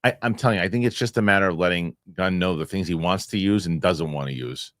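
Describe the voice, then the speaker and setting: A man hosting a casual podcast chat, animated and rambling, often restarting his sentences. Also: deep voice, fast talker, no room sound, clean studio sound. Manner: animated, rambling, self-correcting with repeated starts. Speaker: show host.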